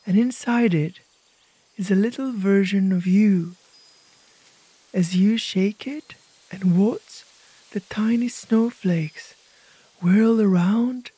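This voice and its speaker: Soft breathy lady doing guided meditation, really slow n gentle, mellow tone, close dry sound. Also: mid-range voice, no room sound, low-quality recording. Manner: gentle, hushed, pausing between short phrases. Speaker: host of a guided imagery podcast.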